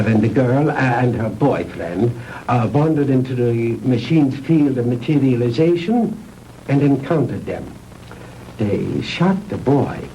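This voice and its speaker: Grandiose German mad scientist. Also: male voice, medium pitch, mellow. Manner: grandiose, condescending, deliberate. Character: mad Nazi scientist, proud, theatrically courteous, fanatical. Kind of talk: 1960s B-movie acting. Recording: noisy old 1960s film soundtrack.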